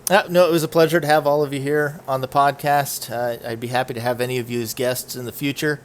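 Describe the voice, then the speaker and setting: A man's voice: mid-range voice, steady tone, quick pace, a little hiss or hum. A young man hosting a podcast in casual chat, teasing his guests and laughing easily, speaking from home on a call. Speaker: podcast host.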